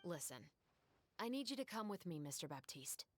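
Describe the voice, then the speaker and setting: An actress playing a composed, dutiful young guard officer in a video game, formal and firm. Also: female voice, on the low side, very animated, normal speed, slight background noise. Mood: official, firm. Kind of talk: video game character acting.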